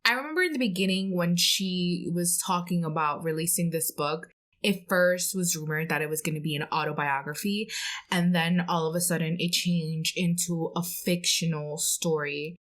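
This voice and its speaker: Woman podcast co-host, a bit on the lower side, just chatting pop culture casually. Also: normal speed, fairly bright tone.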